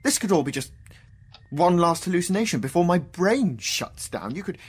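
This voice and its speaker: An actor playing a dead wartime mathematician in a radio drama, a wry, wounded intellectual who swings from hushed to outburst. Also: male voice, high-pitched, big pitch swings, moderate pace, breathy edge, exaggerated voice. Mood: questioning.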